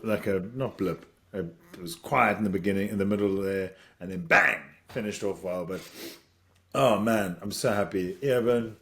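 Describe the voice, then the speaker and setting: Young South African male podcast co-host, lower voice, gushing and halting. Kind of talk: casual podcast chat.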